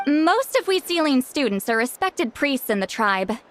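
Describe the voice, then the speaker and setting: A woman's voice: high-pitched, big pitch swings, moderate pace, fairly bright tone, slight background noise. A woman playing a proud, prickly great shaman in an anime game, haughty and easily flustered. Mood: boastful, flustered. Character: proud, prickly female great shaman, defensive, secretly caring.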